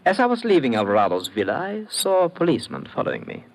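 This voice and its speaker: An actor playing a smug, unruffled gentleman perfume dealer with urbane, ornate speech in an old radio drama. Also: fairly high pitch, huge pitch swings, fast talker. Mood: coy, unruffled. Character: suave, slippery gentleman perfume dealer, smugly unruffled suspect. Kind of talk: 1940s-50s radio drama acting. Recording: noisy old radio broadcast recording.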